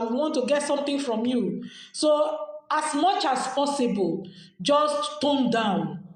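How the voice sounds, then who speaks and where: A woman with a Nigerian accent hosting a podcast, giving casual advice in a chatty way and repeating phrases as she explains. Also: fairly high pitch. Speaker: podcast host. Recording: very echoey room.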